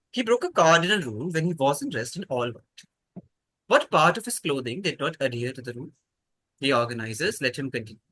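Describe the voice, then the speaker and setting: A man's voice: fairly high pitch, pushed and bright, breathy edge, no room sound, low-quality recording. A man, acting as quiz master and reading out questions in an online quiz.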